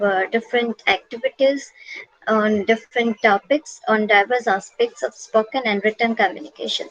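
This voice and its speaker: A woman's voice: on the high side, no room sound, low-quality recording. A woman giving an online class lecture from slides, patient and repetitive, with frequent "uh" fillers.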